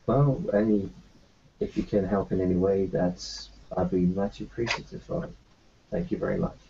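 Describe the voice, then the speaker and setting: A man roleplaying his character in a tabletop game, talking in a halting way full of fillers and restarts. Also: low voice, fairly even intonation, gravelly, dark tone, slight background noise. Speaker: player voicing his doctor character. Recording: dull lo-fi recording.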